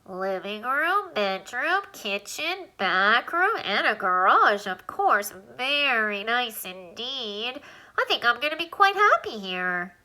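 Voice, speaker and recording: A high, squeaky little alien man rattles on in a brash, wisecracking patter, as a woman reading an audiobook puts him on. His voice is bright and sharp, leaping all over. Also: very animated, full character voice, poor audio. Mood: chatty. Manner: fast-talking, wisecracking. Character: squeaky tiny male alien, chatty and brash.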